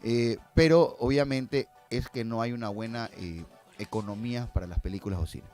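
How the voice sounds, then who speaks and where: A man's voice: medium pitch, normal speed, a little mellow, soft background music. A man with an Ecuadorian accent answering as a filmmaker guest in a conversational, informal, slangy interview style.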